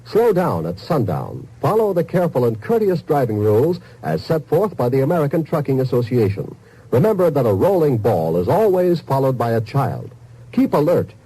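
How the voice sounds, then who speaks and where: Husky, emphatic male radio announcer. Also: medium pitch, mellow, poor audio. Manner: earnest, emphatic. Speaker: public service announcer. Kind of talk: scripted 1950s radio safety announcement. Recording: old 1950s radio broadcast recording.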